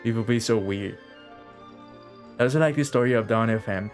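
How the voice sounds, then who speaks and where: A man's voice: fairly low pitch, fairly quick, soft background music. A man chatting casually on a game live stream, rambling and repeating phrases as he thinks aloud.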